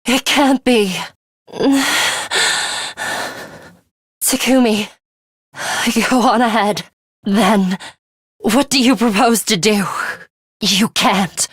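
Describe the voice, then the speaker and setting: An actress playing a proud, imperious young woman in a dramatic video game, haughty and deliberate, with a fatalistic streak and a hidden caring side. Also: medium pitch, no room sound, studio quality. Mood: urgent, tense. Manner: haughty, deliberate, breathy delivery with hushed drops.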